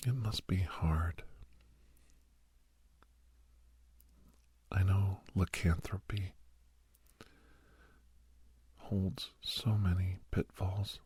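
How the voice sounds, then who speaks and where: Man acting as gentle American doctor, ASMR roleplay, very deep pitch, breathy husky whisper, slow halting delivery, reflective. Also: fairly even intonation, dry recording, exaggerated voice. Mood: sympathetic, reassuring. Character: kindly soft-spoken male doctor counseling a young werewolf.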